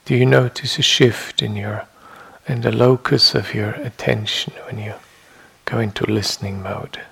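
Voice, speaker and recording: A man teaching a guided meditation in a meditation hall, speaking in measured short phrases with long pauses and a husky, gravelly voice. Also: on the low side, fairly even intonation, a bit bright.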